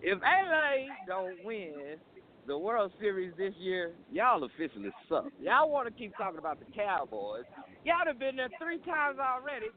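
A man trades jabs on a sports talk show, talking over the others to make his point. His voice sits a little high and swings widely. The sound is thin and tinny, like a phone call. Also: moderate pace, a little hiss or hum. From Arizona. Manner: animated, talks over others. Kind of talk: heated sports banter. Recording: phone-line audio.

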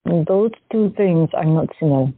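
A woman's voice: low-pitched, animated, moderate pace, slightly rough, no echo, full character voice. A woman playing a shy woman patient who has visions, speaking hesitantly and with wonder. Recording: dry, tinny phone-like audio.

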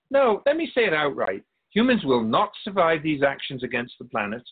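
A man playing a wise, wry otherworldly spirit guide in a radio drama interview, measured and philosophical. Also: mid-range voice, slightly rough, phone-quality sound. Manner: measured, philosophical, gently admonishing.

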